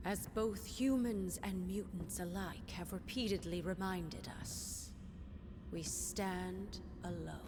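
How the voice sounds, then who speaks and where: A woman's voice: mid-range voice, background noise, natural voice. An actress playing a proud, stern queen in a video game, speaking in a formal, regal manner. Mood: cold, proud. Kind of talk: video game cutscene acting. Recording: reverberant hall, music behind.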